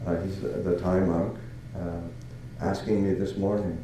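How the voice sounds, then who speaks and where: An older man from Canada gives an unhurried dharma talk in a slow, lowish voice. He halts often, filling pauses and restarting phrases. The recording is very echoey, with steady noise in the background. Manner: halting, many fillers and restarts, chuckles. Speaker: Buddhist monk.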